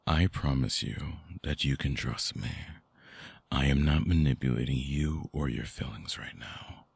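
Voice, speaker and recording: Man playing a very deep, gravelly vampire lover. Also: dry recording, exaggerated voice. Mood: hurt, pleading. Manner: slow, hushed, coaxing, with long pauses. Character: brooding seductive male vampire, lovesick, possessive, manipulative ex-lover. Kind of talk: intimate ASMR romantic audio roleplay. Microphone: close microphone, dry binaural recording.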